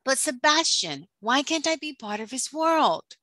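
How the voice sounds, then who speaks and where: A woman's voice: high-pitched, very animated, a little slow, bright, forceful tone, dry recording, clean studio sound, pitched above the performer's own voice, heavily acted. A woman voicing a lovesick, headstrong teenage mermaid princess in an earnest storybook reading. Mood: pleading. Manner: earnest, pleading.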